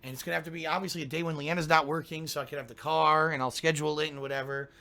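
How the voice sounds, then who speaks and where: Streamer, male, down with a cold: hoarse, congested, gravelly, grumbling chat with throat-clearing. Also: on the high side, fairly even intonation, rapid-fire, bright, forceful tone. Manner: grumbling, ranting, keeps clearing his throat. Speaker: game streamer. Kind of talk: casual pre-stream chat to viewers.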